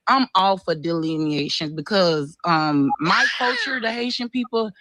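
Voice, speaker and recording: A woman's voice: on the low side, measured pace. A woman in her forties from Florida calling in to a live stream, chatty and eager. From Florida, USA. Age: 40s. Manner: excited, chatty, eager fan. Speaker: caller. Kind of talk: phone-in call on a live stream.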